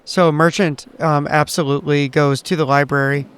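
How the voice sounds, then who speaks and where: Man, tabletop player, fairly high pitch, smooth clear voice, casual improvising, hesitant. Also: flat delivery, moderate pace, a bit bright. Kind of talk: casual improvised roleplay and description.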